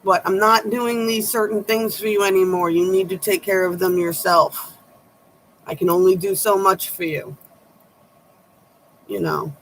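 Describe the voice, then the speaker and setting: A woman tarot reader with a low voice, giving blunt, sharp-tongued advice in a casual chat to her viewers. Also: fairly even intonation, measured pace, pauses often, a little hiss or hum, poor audio.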